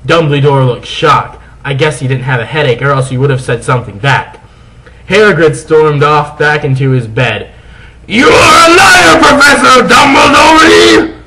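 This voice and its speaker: A man's voice: medium pitch, moderate pace, a bit bright, a little hiss or hum, poor audio. A man narrating fan fiction as a comic dramatic reading, theatrical and mock-serious.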